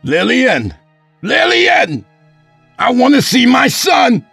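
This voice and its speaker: A man's voice: medium pitch, sing-song, a little slow, no echo, soft background music, pitched above the performer's own voice. A man playing a bitter, volatile, drunken lawyer in an audiobook story, sarcastic and prone to anger. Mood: furious.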